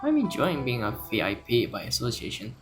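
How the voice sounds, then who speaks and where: A woman's voice: extremely low pitch, wildly swooping pitch, a little fast. A woman casually voicing game dialogue lines. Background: game music.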